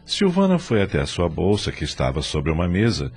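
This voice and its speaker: A man's voice: sits low, wildly swooping pitch, fairly quick, darkish tone, breathy edge. A man with a Brazilian accent reading dramatic scripted narration for an audio drama. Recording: music under the voice.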